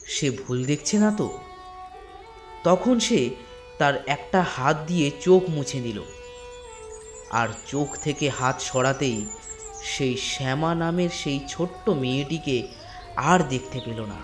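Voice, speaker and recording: A man narrating a scripted ghost story in a dramatic storytelling manner with drawn-out pauses. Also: mid-range voice. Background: soft instrumental music.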